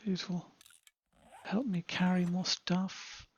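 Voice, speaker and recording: A man voicing a confident jungle man who thinks of himself as British, with a British accent and a husky voice, talking in a chatty, understated way and muttering asides as he plays a game. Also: high-pitched, little pitch movement, slow pace, no echo, muffled recording, heavily acted. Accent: British English. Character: confident jungle man Tarzan turned proper British gent. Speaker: gameplay commentator in character. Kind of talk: in-character gameplay narration.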